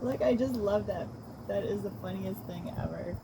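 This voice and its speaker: Expressive woman reading aloud, very noisy recording. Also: mid-range voice, normal speed. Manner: expressive, amused. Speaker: children's author reading own book. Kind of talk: storybook read-aloud. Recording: noisy recording with loud background noise.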